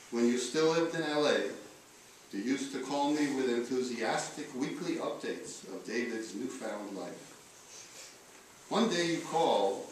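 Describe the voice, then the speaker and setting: A man of middling pitch delivers a solemn eulogy from a written text. His phrasing is slow and deliberate, with pauses between short phrases. He is heard at a distance from the microphone in a very reverberant room. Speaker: eulogist reading letters at a memorial. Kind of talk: solemn read-aloud eulogy. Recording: echoey room, distant mic, faint noise.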